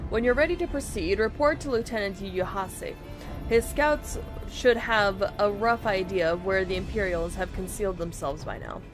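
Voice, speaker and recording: A woman's voice: medium pitch, normal speed, close to an everyday voice. A woman reading a confident, formal military commander's briefing aloud from a fantasy video game. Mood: formal, resolute. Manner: formal, orderly, declarative. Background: video game music.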